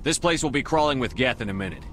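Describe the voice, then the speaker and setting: A man voicing a steady, commanding military officer in his thirties for a video game, firm and measured. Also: mid-range voice, a little hiss or hum, lightly acted. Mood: calm command.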